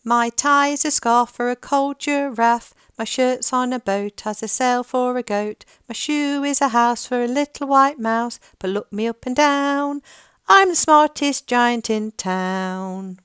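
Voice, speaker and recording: A woman's voice: fairly high pitch, measured pace, a bit bright, clear, resonant tone, dry recording, clean studio sound, voice pushed up. A woman voicing a cheerful, generous male giant in a storybook, with a bouncy, sing-song manner.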